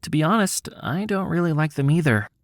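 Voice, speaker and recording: Man playing curious young boy, high-pitched and earnest. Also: animated, brisk, no room sound, clean studio sound, close to an everyday voice. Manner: innocent, frank, questioning.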